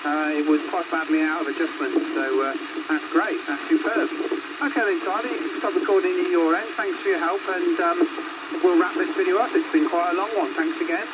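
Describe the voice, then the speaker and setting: A man's voice: high voice, fairly even intonation, fairly quick. Muffled man over very noisy CB radio. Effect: two-way CB radio. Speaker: host running a radio test. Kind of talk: casual two-way radio chat. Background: loud radio noise.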